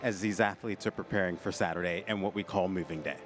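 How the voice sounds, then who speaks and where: A man's voice: sits low, quick pace. A man hosting a live sports broadcast, steering the desk conversation like a commentator. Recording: faint background venue noise.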